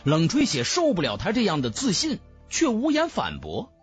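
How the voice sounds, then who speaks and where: A man's voice: high voice, wildly swooping pitch, moderate pace, faint music behind. A man narrating a fantasy audiobook in a dramatic, scripted storytelling style.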